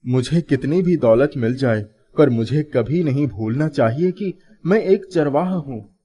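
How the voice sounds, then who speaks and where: Man acting a lively, earnest young herdsman. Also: mid-range voice, measured pace, lightly acted. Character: humble kind young herdsman, grateful and earnest.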